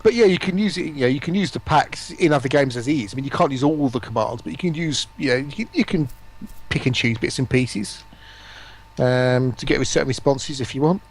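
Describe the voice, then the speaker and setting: A man's voice: mid-range voice, brisk, slightly rough. A man chatting casually and informally as a guest on a live stream. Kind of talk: casual chat.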